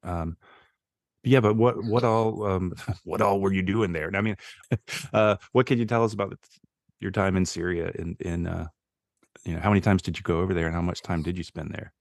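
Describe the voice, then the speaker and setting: A man interviewing casually as a podcast host, with halting, tentative questions. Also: sits low, fairly even intonation, no echo, studio quality.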